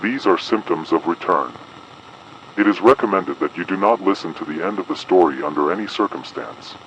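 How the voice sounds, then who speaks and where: A man playing an official announcer delivering a measured, formal, ominous emergency advisory in an analog horror broadcast. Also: low voice, a bit bright, dull audio, slight background noise. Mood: grave, ominous. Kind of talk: scripted analog horror public broadcast narration.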